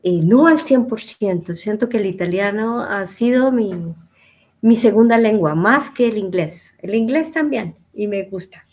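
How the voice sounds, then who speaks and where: A woman's voice: mid-range voice, animated, measured pace. A middle-aged woman, telling her life story as an interview guest in a reflective, conversational way. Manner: reflective, with soft laughs and some trailing quiet phrases. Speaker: interview guest, former producer and journalist.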